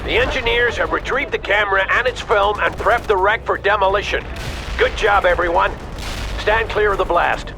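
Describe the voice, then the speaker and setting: An actor playing a stern, decisive army officer giving clipped, authoritative orders in a video game. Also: male voice, high voice, normal speed, background noise. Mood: brisk, commanding. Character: stern commanding male army officer, decisive battlefield leader. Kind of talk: video game radio-briefing acting.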